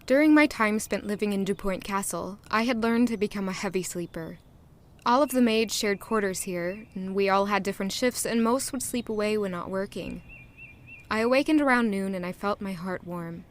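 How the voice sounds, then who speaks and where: A woman's voice: medium pitch, moderate pace, natural voice. An actress narrating as a young female castle maid, in an earnest, introspective first-person way. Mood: reflective. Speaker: narrator and lead character.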